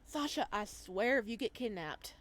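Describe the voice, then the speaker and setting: A woman reading a visual novel aloud as a let's play host, reacting to the story in an animated way. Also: a little high, normal speed. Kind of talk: game commentary mixed with read-aloud narration.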